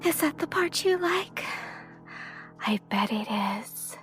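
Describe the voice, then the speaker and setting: Female serpent monster: high-pitched, very unhurried, seductive and mocking, drawing words out, music underneath. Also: lively intonation, fairly bright tone, exaggerated voice. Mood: teasing, gloating. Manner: taunting, sing-song mock-sweet, drawn-out words. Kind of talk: dramatic audio drama acting.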